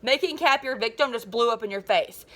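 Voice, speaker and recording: A woman's voice: a little high, a little fast, sharp tone. A woman voicing a nerdy middle-school boy in a storybook, resentful, defensive and sneering. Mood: indignant.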